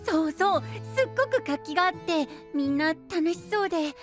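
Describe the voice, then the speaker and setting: Sweet boy hero for anime audio drama, very high register, gentle and eager. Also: expressive, normal speed, faint music behind. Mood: surprised, eager. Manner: childlike, soft, earnest. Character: sweet, innocent, eager young boy knight.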